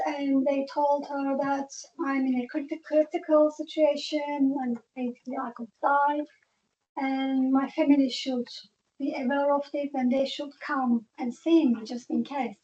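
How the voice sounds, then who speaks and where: High-voiced woman, halting testimony. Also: a little mellow. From Turkey. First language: Turkish. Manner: halting, restarts and non-native phrasing. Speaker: patient sharing her story. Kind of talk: personal testimony at a meeting. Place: meeting room. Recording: dull lo-fi meeting audio.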